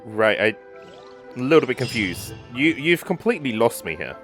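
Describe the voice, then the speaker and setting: A woman with a very low voice and lively intonation chats and jokes as she plays a story game. Her talk is casual and chatty. Game music plays quietly behind her. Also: normal speed. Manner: joking, chatty, laughs along. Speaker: gameplay commentator. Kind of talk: casual let's-play commentary.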